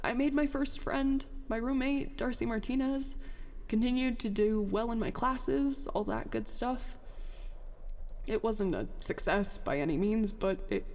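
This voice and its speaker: A high female voice rings with long reverb. A woman acts a bitter young former prodigy in an audio drama, self-doubting and sarcastic. The confession rambles, full of self-deprecation. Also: unhurried, darkish tone, close to an everyday voice. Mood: regretful.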